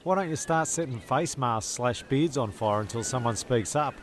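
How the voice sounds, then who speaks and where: A man narrating and acting as a fussy, deadpan castaway in a comedic radio play, with a creaky voice. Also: medium pitch, normal speed, slight background noise, natural voice.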